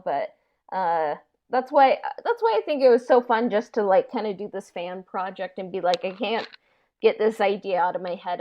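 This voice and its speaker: A woman's voice: mid-range voice, dull audio. A young woman talking casually about her creative project, tentative and reflective, often saying "like" as she thinks. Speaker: creator of a fan audio drama. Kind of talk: casual conversation.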